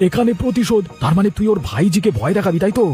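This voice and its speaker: A man's voice: high voice, big pitch swings, very fast, mellow, soft background music. A man voicing an uneasy village troublemaker in a cartoon, wavering and easily cowed. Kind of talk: Bengali cartoon voice acting.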